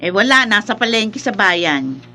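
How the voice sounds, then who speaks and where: A woman's voice: mid-range voice, very animated, a little slow, pushed and bright. Inquisitive village mother. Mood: casual. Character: inquisitive, plain-spoken village mother of a grown daughter. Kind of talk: Filipino radio drama acting. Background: faint music.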